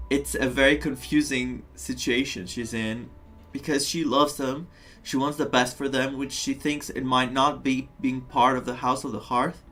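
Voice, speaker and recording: A man streaming a video game and commenting casually in a middle-pitched voice. He is reflective and empathetic, often repeating a phrase to underline it. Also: a bit bright.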